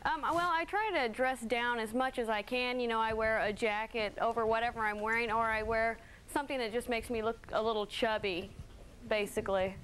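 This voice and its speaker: A candid woman in her twenties answers audience questions from a talk show stage. She sounds easygoing, and her voice sits a little high. Faint hiss runs under her voice. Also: steady tone, brisk, a bit bright. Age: 20s. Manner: easygoing, candid, laughs along. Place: TV studio stage.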